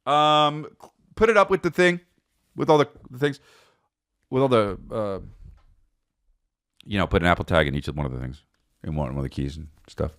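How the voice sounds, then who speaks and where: An American man hosting a comedic podcast, telling personal stories in a casual, rambling, animated way with repeated phrases for emphasis. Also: fairly low pitch, moderate pace, long pauses, dry recording, studio quality.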